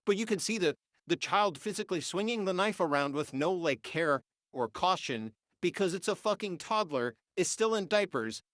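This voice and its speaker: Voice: medium pitch, animated, moderate pace, few pauses, fairly bright tone, no echo, studio quality. A nineteen-year-old vlogger, congested and sniffling, ranting heatedly and swearing through an AI voice filter. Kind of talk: unscripted rant.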